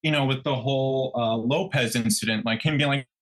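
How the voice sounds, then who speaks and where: A man's voice: medium pitch, a little fast. A man co-hosting a true crime podcast, telling the story conversationally and acting out people's reactions as he goes. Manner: animated retelling, acts out quoted reactions.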